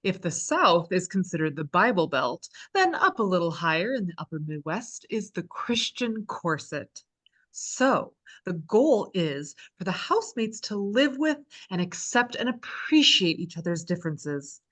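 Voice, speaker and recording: Chipper, animated, sardonic female reality host, acted by a woman. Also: lowish voice, unhurried, full character voice. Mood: upbeat presenting. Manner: presenter-like, with sarcastic asides. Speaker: actress in a script table read. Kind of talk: scripted reality show hosting at a table read.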